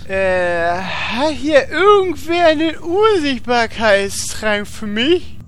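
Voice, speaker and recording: A man's voice: very high-pitched, sing-song, slow, fairly bright tone, exaggerated voice. A man playing a dim, blustering but loyal warrior in a parody audio drama. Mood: sheepish. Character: dim, blustering warrior brute, evasive and proudly faithful.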